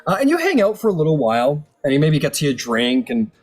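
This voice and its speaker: A man's voice: fairly high pitch, huge pitch swings, fast talker. A man improvising narration as the game master of a tabletop role-playing game. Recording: music playing under the voice. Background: background music.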